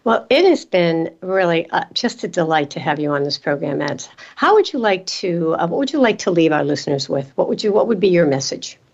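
Female radio host, lower voice, muffled lo-fi sound, steady interviewer. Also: brisk, gravelly, few pauses. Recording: dull lo-fi sound.

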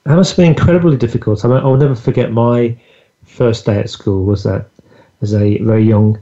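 A man's voice: mid-range voice, steady tone, fairly quick, warm tone. Man, podcast host, chatty, fillers, dull lo-fi sound. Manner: chatty, many fillers and restarts. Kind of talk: casual interview chat. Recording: dull lo-fi audio.

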